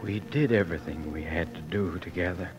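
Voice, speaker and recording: An actor playing a dying frontier husband, weary and tender, in 1940s radio drama style. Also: male voice, low-pitched, natural voice. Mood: weary tenderness. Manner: weak, halting, tender. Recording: old radio broadcast with loud music.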